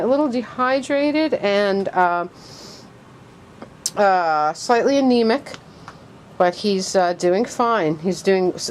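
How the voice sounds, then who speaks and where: Excited, low-pitched woman vlogging. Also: slight background noise. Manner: excited, gushing, halting. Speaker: record player collector, vlogger. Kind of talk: casual show-and-tell vlog.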